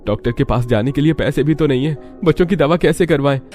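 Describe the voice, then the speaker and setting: A man's voice: fairly high pitch, fast, a little mellow, soft background music, pitched above the performer's own voice, lightly acted. A man voicing a poor, helpless young husband and father in a cartoon story, anguished and apologetic.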